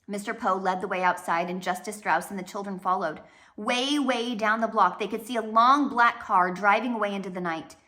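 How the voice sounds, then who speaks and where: A woman reading a children's novel aloud as a storyteller, dramatic, with swells and hushed drops. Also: medium pitch, fairly even intonation, normal speed. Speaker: storybook reader. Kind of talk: expressive read-aloud narration of a children's novel.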